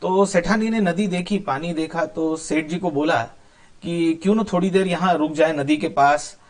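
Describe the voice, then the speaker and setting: A man's voice: a little high, moderate pace. A man telling a moral story informally, explaining as he goes with conversational asides and some English words mixed in. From India.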